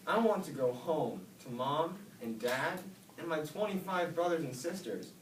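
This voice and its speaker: Man putting on a high eager little snail boy, storybook voice, lively, distant echoey room, faint hiss. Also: measured pace. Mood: sad. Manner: excitable, boastful, then tearful. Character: small eager young male snail.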